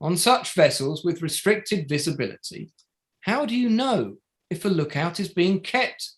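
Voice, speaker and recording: An actor playing an indignant barrister in a play reading, making formal submissions to an inquiry in a deliberate, emphatic way with pauses between short phrases. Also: male voice, sits high, lively intonation. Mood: measured, formal. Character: indignant male barrister addressing a public inquiry. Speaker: actor in an online table read.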